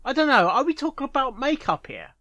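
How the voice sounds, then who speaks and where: A man's voice: very high-pitched, very animated, quick pace, lo-fi sound, noisy background. A man hosting a reaction video, making jokey, chatty asides about a story reading. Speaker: reaction host. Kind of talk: casual reaction commentary.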